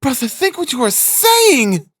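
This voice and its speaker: A male narrator voices an earnest young woman in an audiobook. The pitch is raised and the delivery is firm, concerned and urgent but controlled. The sound is dry. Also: lively intonation, moderate pace, darkish tone, no echo, lightly acted. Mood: impetuous, restrained. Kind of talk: audiobook character acting.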